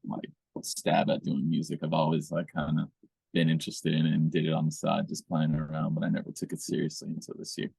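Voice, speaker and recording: A man with a deep, gravelly voice answers questions slowly and flatly, hesitating often. His speech sounds dry and close to the mic. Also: dry recording. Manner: low-key, hesitant, with fillers. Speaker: musical guest. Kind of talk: interview answers.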